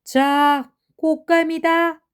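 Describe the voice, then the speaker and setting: Lady doing a mom coaxing her crying kid in a fairy tale, high voice, draws words out. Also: flat delivery, extremely slow, full character voice. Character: mother soothing and scolding her crying baby.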